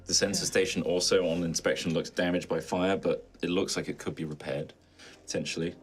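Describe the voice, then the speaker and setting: A man with a very low voice serves as game master for a tabletop roleplaying game. He narrates scenes and explains options to players, with faint music playing behind him. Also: steady tone, fairly quick.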